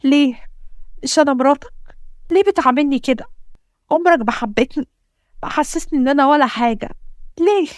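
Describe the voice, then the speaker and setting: A woman's voice: high-pitched, fast talker, dry recording, clean studio sound, pitched above the performer's own voice. A woman with an Egyptian accent voicing a hurt, bitter young wife who fires off accusing, pleading questions. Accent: Egyptian Arabic.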